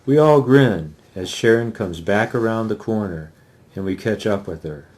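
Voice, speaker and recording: A man's voice: lowish voice, animated, moderate pace, a little mellow. A man, the author, giving a dramatic reading of his first-person novel.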